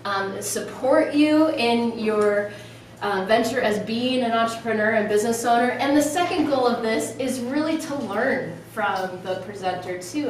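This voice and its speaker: A woman with a fairly high voice welcomes a group as host and introduces a speaker. She is heard from some distance in a very echoey room, with faint noise. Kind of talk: welcome speech, partly read introduction. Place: indoor meetup room.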